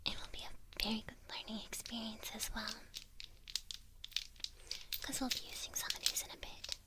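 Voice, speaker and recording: A woman playing a kindly witch who gently instructs her apprentice in a breathy, unhurried ASMR whisper. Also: a little high, level tone, lightly acted. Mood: gentle, pleased.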